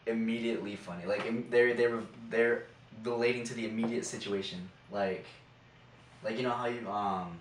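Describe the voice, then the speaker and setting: A young man co-hosting a podcast, talking casually in a halting, rambling way. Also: mid-range voice, fairly even intonation. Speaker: podcast co-host. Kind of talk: casual chat. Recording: roomy, distant mic, faint room noise.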